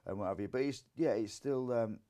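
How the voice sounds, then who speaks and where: A man's voice: mid-range voice, a little fast, mellow. A young man from Grimsby, a comedian and singer, chatting casually in an interview with lots of fillers. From Grimsby, England. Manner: rambling, many fillers.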